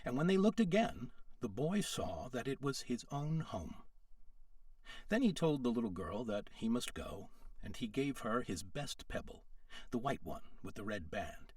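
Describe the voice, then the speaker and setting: A man's voice: medium pitch, big pitch swings, measured pace, darkish tone, no room sound, clean studio sound, recorded from a distance. A man reading a storybook aloud as a narrator, in scripted storytelling style. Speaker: storyteller, audiobook reader.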